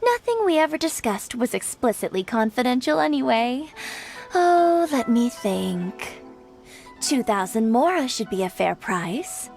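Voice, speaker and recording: An actress playing a shrewd merchant woman who sells information, talking in a sly, smooth way. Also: fairly high pitch, sing-song, measured pace, darkish tone, soft background music, heavily acted. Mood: teasing. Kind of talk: video game voice acting. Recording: game score behind.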